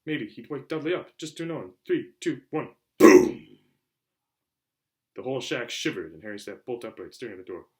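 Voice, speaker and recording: A man narrates a storybook in a dramatic, theatrical way. His medium-pitched voice rises and falls widely as he reads. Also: normal speed. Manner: expressive, theatrical. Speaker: audiobook reader.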